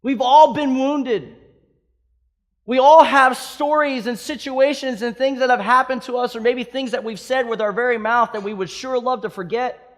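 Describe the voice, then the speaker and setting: A pastor in his thirties from Hawaii, preaching a sermon with impassioned swings between loud emphatic peaks and soft hushed stretches. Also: very high voice, fairly even intonation, moderate pace. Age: 30s.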